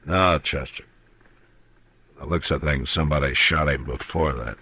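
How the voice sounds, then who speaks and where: An actor playing a grave, steady Old West lawman in an old radio western, speaking in measured, terse phrases with hesitant pauses. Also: slightly rough, heavily acted. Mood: grim, steady. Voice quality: very deep voice. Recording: old radio drama recording.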